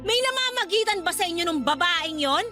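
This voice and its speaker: High-pitched jealous Filipina girlfriend. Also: expressive, fairly quick, pushed and bright, soft background music, heavily acted. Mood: jealous, accusing. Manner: accusing, emotional. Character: jealous, suspicious young girlfriend confronting her boyfriend. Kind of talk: Filipino radio drama acting.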